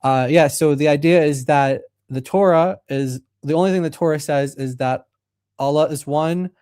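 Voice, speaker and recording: A man's voice: a little high, moderate pace, darkish tone, no room sound, clean studio sound. A man co-hosting a live call-in stream, easygoing and thinking aloud. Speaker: co-host. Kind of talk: casual call-in discussion.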